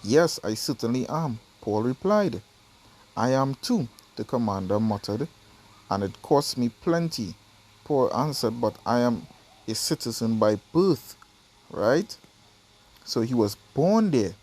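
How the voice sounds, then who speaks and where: Mellow Trinidadian man teaching scripture. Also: medium pitch, animated, unhurried. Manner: conversational, keeps asking "right?" and "you understand?". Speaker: Bible teacher. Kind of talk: Bible study video, reading verses and explaining.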